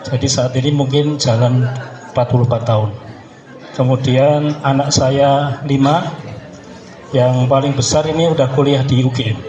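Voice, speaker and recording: A man in his forties with a Javanese Indonesian accent introduces himself informally to a group. His voice is of middling pitch and carries a strong room echo. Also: fairly even intonation, normal speed, highs cut off, crowd noise. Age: 40s. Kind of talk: informal self-introduction to a gathering. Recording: echoey room.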